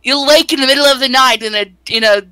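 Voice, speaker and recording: A woman's voice: sits high, fast talker, clear, resonant tone. A woman chatting casually and giggling as she hosts and reads through a visual novel with friends. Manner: giggly, animated. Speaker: host narrating a visual novel playthrough. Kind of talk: casual group chat with read-aloud narration.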